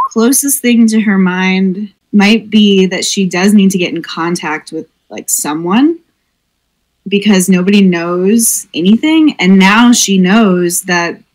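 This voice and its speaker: A woman's voice: mid-range voice, slow pace. Chatty woman playing a tabletop game. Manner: rambling, thinks aloud with many fillers. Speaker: player. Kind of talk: tabletop roleplay over voice chat.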